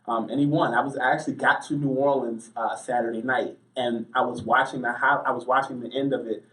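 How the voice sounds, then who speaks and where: A talkative American man answers interview questions in anecdotes, with a middling pitch. The room rings noticeably around his voice, and he sits a little back from the microphone. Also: steady tone, a little fast, a bit bright. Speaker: interview guest, sports journalist. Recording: roomy, distant mic.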